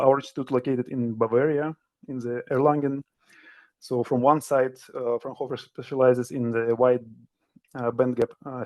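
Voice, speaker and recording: A man's voice: mid-range voice, a little mellow. A young man from Kazakhstan giving a seminar talk over a video call, speaking carefully with some hesitations. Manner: careful, slightly halting delivery with fillers. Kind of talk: academic presentation. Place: indoor room.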